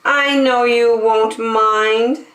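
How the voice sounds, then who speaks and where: A woman's voice: on the high side, fairly even intonation, very slow, fairly bright tone, roomy sound, voice pushed up. A woman voicing a lazy, sweetly persuasive female bird in a coaxing, singsong storybook manner.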